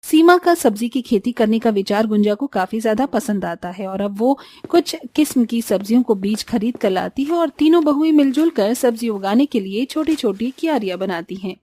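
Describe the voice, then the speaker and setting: A woman's voice: on the high side, animated, moderate pace, hardly any pauses, warm tone, no echo. A woman reading scripted narration for a Hindi cartoon village story.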